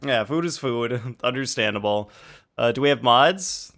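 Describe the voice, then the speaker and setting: A man's voice: mid-range voice, animated, unhurried, a bit bright, soft background music. A man livestreaming a video game, chatting casually and rambling as he thinks aloud. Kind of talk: casual live gaming chat and commentary.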